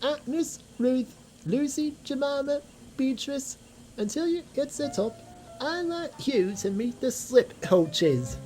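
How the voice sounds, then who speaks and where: Woman playing male steam engine, well-meaning, excitable, emphatic, raised swooping pitch, storybook character. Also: slow pace, soft background music, low-quality recording. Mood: helpful.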